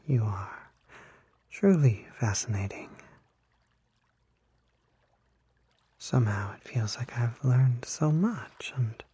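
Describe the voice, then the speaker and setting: Male actor as smooth predatory kelpie, very husky and breathy, slow, coaxing, halting, genteel, ASMR roleplay. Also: medium pitch, mellow, no room sound, full character voice. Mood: fascinated. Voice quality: breathy, husky, half-whispered. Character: unsettling smooth-talking male kelpie, charming, needy, secretly predatory.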